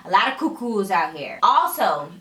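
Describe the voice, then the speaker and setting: Young woman talking to her camera, sassy and full of energy, kinda tired and raspy, roomy echo. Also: medium pitch, normal speed. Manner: energetic, chatty, laughs often, sassy asides. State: tired, slightly raspy voice late at night. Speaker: vlogger. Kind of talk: casual chat to camera. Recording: echoey room.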